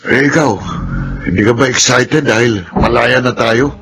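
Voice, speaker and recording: A restless fellow prisoner, played by a man in a radio drama, talking eagerly. Clear background noise runs under his mid-pitched voice. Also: measured pace, a bit bright, natural voice. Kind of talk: classic radio drama acting.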